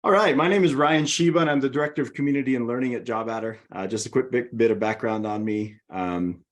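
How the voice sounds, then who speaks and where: A man's voice: mid-range voice, fast. A middle-aged man with a Hawaiian accent, presenting a webinar over a video call in a friendly, organized way. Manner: friendly, organized, walks through slides.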